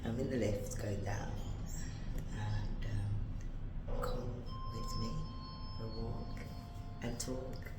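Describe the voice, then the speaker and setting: Slow-talking woman, slightly gruff, prayerful and rambling. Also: a bass voice, steady tone, heavy background noise. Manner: soft-spoken, rambling, prayerful. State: gruff early-morning voice. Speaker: faith vlogger. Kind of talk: casual devotional walk-and-talk. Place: walking, from a lift to outdoors.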